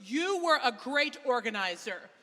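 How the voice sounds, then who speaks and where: A woman playing a dignified suffragist leader in a historical readers theater, with a formal, measured delivery. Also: on the high side, very animated, sharp tone, exaggerated voice. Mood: fond, reminiscing. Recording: echoey hall with long reverb.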